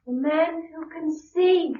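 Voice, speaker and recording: Frightened little girl, very high voice, pleading, anxious, old radio play, echoing space. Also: slow, warm tone, slight background noise. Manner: pleading, worried. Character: anxious, timid young girl calling for help. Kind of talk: mid-20th-century British radio drama acting. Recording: echoey, distant, dull old radio recording.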